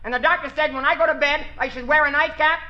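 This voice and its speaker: High, quick cheeky kid. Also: female voice, fairly even intonation, sharp tone. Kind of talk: 1950s radio comedy acting. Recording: noisy, muffled vintage radio recording.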